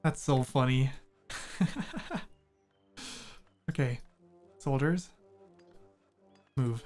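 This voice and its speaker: A young man from Germany streams a game, talking in English with a slight blend of British and American. His voice sits at an ordinary middle pitch. He rambles with frequent hesitations, and faint music plays underneath. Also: extremely slow, a little mellow. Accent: slight mix of British and American. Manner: rambling, hesitant, laughs often. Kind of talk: casual gameplay chat.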